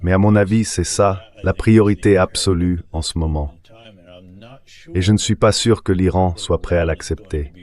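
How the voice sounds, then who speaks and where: Deep-voiced man giving measured opinions. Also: steady tone, a little mellow. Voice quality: AI-generated translation voice.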